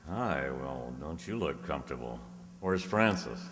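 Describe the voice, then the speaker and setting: Man playing a deep-voiced, glib private eye. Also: lively intonation, unhurried, exaggerated voice. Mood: glib, teasing. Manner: glib, teasing. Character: flirtatious wisecracking male private detective. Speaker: actor playing a detective. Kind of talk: old-time radio detective acting with crooning.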